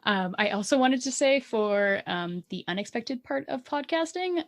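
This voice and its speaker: A woman's voice: on the high side, lively intonation, normal speed. Giggly Canadian woman chatting casually. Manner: giggly, self-deprecating. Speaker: podcast co-host. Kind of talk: casual chat.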